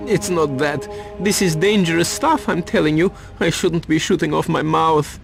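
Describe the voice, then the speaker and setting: A man's voice: on the high side, normal speed, creaky, soft background music. An actor playing a cagey, self-important, money-minded reporter turned informant in a video game, evasive as he talks. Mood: nervous, cagey. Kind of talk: video game cutscene acting.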